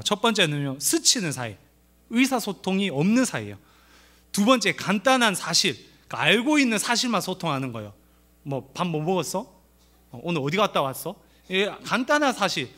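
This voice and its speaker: A middle-aged man from the Gyeongsang region with a light Gyeongsang accent, preaching at a church family seminar in a lively, playful way, acting out quoted lines. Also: sits high, a little fast. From Gyeongsang region of Korea. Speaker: pastor.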